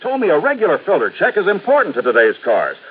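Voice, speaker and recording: An actor playing an eager, folksy car owner in a dramatized vintage radio commercial. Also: male voice, sits high, wildly swooping pitch, fast, breathy edge, phone-quality sound, exaggerated voice. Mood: excited. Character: excitable everyday male car owner in advertisement. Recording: thin, hissy old radio recording.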